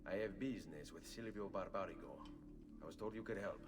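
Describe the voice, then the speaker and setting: An actor voicing a composed young male assassin, calm and measured. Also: low-pitched. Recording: game audio with ambient noise underneath.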